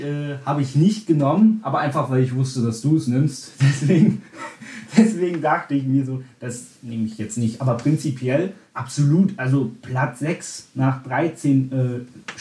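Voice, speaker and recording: A man's voice: mid-range voice, dark tone, reverberant. Chatty Berlin-born man hosting a football podcast. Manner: chatty, hesitant, many restarts and fillers. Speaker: podcast host. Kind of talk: casual football podcast chat.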